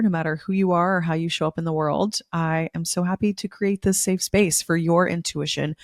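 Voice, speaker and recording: A young woman hosting a spiritual podcast, a little hoarse from losing her voice, talking in an effusive, repetitive way. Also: lowish voice, a little mellow, dry recording, clean studio sound. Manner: effusive, repeats words for emphasis. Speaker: podcast host. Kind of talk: casual spiritual podcast monologue.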